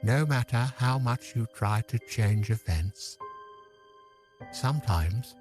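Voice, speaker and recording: A man's voice: medium pitch, animated, takes it slowly, over music. A man playing a lonely, regretful old man who bends time, speaking solemnly in an audio drama. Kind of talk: scripted audio drama acting.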